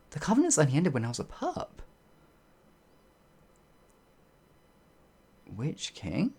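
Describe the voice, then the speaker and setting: An actor playing a bewildered, humble werewolf outlaw in an ASMR fantasy roleplay, with halting, old-fashioned speech and a husky voice. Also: mid-range voice, a little mellow, exaggerated voice. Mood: incredulous. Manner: halting, flustered, pleading, old-fashioned wording.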